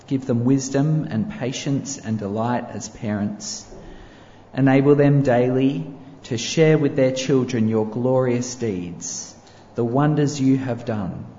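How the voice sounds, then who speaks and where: A male pastor prays aloud with a measured, solemn cadence and a mellow, dark tone. The voice rings through a large church hall with long reverb, over faint room ambience, and sounds somewhat muffled. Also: mid-range voice, slight background noise. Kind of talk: public prayer.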